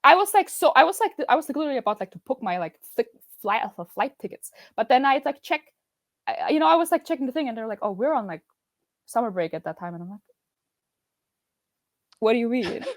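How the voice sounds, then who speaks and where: Austrian woman guest, lively and high, quick to laugh, dry video-call sound. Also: no echo. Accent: Austrian German. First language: German. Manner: bubbly, laughs often, many restarts and fillers. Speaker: podcast guest. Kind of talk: casual podcast chat over video call.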